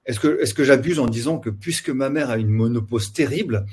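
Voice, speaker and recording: A man's voice: mid-range voice, a little fast, a little mellow, slightly rough. A man hosting a webinar interview, often stammering and repeating words as he asks his questions. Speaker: webinar host.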